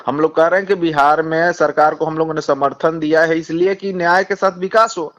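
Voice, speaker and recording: A man with a Bihari accent speaking as a politician in a phone interview, insistent, repeating himself and halting as he argues. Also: on the high side, steady tone, a bit bright. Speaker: communist party politician. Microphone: phone call. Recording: dull lo-fi phone call recording.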